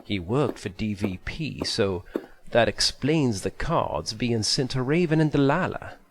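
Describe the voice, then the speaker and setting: A man's voice: medium pitch, expressive, unhurried. A man playing a loyal, blunt friend with a casual manner in an audio drama. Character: loyal married male friend, blunt and dismissive.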